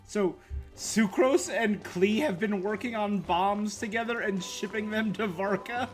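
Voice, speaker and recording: A man giving casual game commentary and explaining lore as a streamer, halting with pauses. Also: sits high, soft background music.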